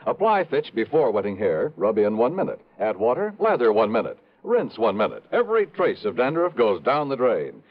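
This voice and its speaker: A male announcer from 1950s radio delivers scripted copy with polished, punchy salesmanship. His intonation is very animated, and the recording is thin and tinny, almost like a telephone. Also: mid-range voice, moderate pace, few pauses.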